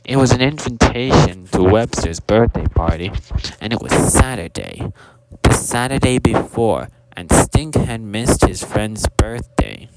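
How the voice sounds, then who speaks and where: A man's voice: fairly low pitch, sing-song, a little slow. A man voicing a forgetful, kindly storyteller hippo who rambles formally and keeps losing his thread. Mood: musing. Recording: very noisy amateur recording with clicks.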